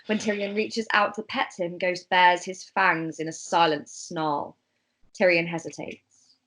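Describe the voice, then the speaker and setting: A woman's voice: fairly low pitch, steady tone, a little slow, a bit bright, poor audio. A woman reading scripted narration and stage directions in an amateur table read.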